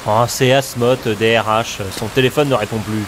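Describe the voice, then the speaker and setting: A man's voice: mid-range voice, fairly even intonation, moderate pace, background noise, close to an everyday voice. A man playing a grumpy office administrator. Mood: grumbling. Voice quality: grumbling, exasperated. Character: grumbling middle-aged male office administrator. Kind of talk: amateur audio drama acting.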